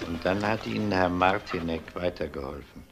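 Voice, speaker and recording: An actor playing a calm, dogged police detective who questions people in a measured, matter-of-fact way in a crime drama. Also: male voice, low voice, music in the background. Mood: measured, probing. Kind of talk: German TV crime drama acting.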